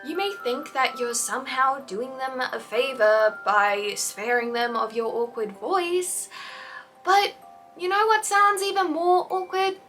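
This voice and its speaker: A woman's voice: high voice, fairly even intonation, unhurried, fairly bright tone, recorded from a distance, faint music behind. A young woman YouTuber giving a scripted, earnest and encouraging explainer about fanfiction.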